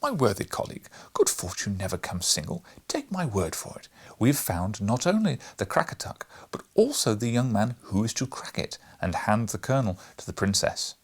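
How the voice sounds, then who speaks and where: A man's voice: on the low side, sing-song, normal speed, husky. A man voicing an earnest, emotional astronomer from a storybook, speaking in a formal, declamatory way. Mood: excited.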